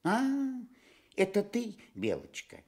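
Husky, sulky stork. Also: male voice, sits high, huge pitch swings, slow pace, a little mellow, exaggerated voice. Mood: musing. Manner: plaintive, grumbling. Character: sulky, self-pitying male stork.

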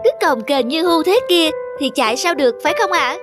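A woman's voice: high-pitched, animated, brisk, over music. A woman voicing a perky, argumentative young cartoon goat. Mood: boastful. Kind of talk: cartoon voice acting.

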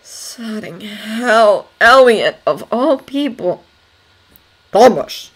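A woman's voice: a little high, animated, moderate pace, voice pushed up, close to an everyday voice, poor audio. A grieving young servant man, acted by a woman narrator, speaks in raised, agitated tones. He is cross and bitter, throwing out curt British slang with a sarcastic edge. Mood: shocked.

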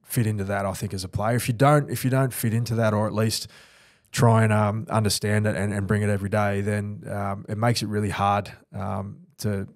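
An Australian-accented man with a low voice talks through his thoughts in an earnest, reflective way, often restarting his sentences. He speaks into a boom-arm studio mic, and the sound is dry and close. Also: flat delivery, brisk, vocal fry, dry recording. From New Zealand. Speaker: former rugby league player. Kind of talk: podcast interview.

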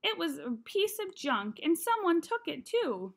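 A woman reading the part of an eager, boastful schoolboy from a children's novel in a slangy, excitable way. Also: extremely high pitch, a little slow, creaky, pitched above the performer's own voice, full character voice. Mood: put out.

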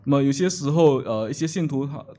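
A man's voice: mid-range voice, animated, quick pace, dark tone. A man seated at a church table interpreting a sermon live, with frequent hesitations and restarts as he searches for words. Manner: hesitant, many filler sounds and restarts. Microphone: table microphone.

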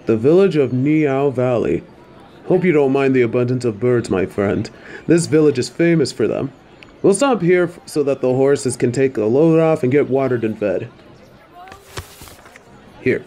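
An easygoing traveling driver in an audio drama, played by a man. He has a dark, relaxed tone at a middle pitch and chats casually, like a friendly companion on the road. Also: normal speed, natural voice. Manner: casual, chatty. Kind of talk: fan audio drama acting.

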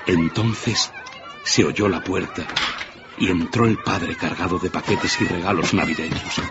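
A man's voice: low voice, steady tone, measured pace, muffled recording, a loud crowd, very noisy. A man narrating a classic tale as a dramatic scripted radio story. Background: music.

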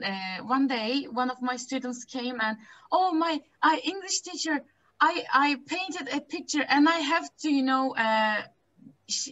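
A young woman, chatting excitedly with lots of giggles and fillers as a webinar attendee on a video call. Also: fairly high pitch. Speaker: webinar attendee asking a question. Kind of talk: casual spoken question on a video call. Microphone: laptop mic on video call. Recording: dull lo-fi video call audio.

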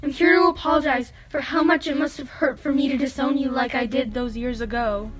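A woman's voice: high-pitched, fairly even intonation, a little fast, close to an everyday voice. A woman acting an apologetic, anxious, emotional mother of a prince in a radio play, speaking hesitantly. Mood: remorseful. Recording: music behind the voice.

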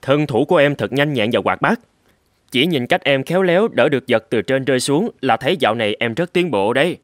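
A man voicing a gentle, courteous young samurai, the considerate eldest son in a cartoon. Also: mid-range voice, expressive, brisk, no echo, studio quality, natural voice. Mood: friendly. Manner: polite, considerate. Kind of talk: dubbed cartoon storytelling. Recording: loud music under the voice.

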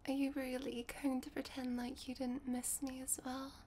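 A woman playing a shy, affectionate young vampire in a soft, hesitant, intimate ASMR roleplay, often dropping to a whisper. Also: high-pitched, completely flat delivery, moderate pace, slight background noise, lightly acted. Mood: bashful, longing. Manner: gentle, hesitant, rambling, often drops to a whisper.

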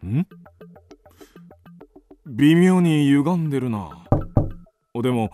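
A man's voice: medium pitch, expressive, very slow, over music. An actor playing an easygoing, flippant husband and father in a radio drama. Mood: puzzled, casual. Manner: flippant, casual.

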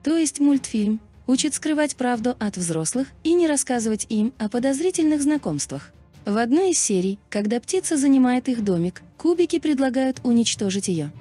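Female narrator, scripted explainer read; soft-edged tone, very varied intonation, music bed. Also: on the high side, big pitch swings, normal speed, slightly rough. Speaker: video narrator. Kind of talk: scripted documentary-style narration. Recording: music behind the voice. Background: background music.

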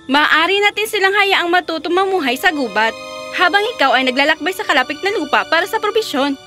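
A woman's voice: extremely high pitch, lively intonation, brisk, music in the background. A woman playing a scheming, cold young stepmother in a fairy tale cartoon, coaxing and commanding. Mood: calculating.

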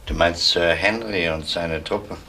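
A seasoned, matter-of-fact detective in a crime radio play. His voice is lowish, over a faint background noise. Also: a little slow, bright, forceful tone, full character voice. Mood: probing.

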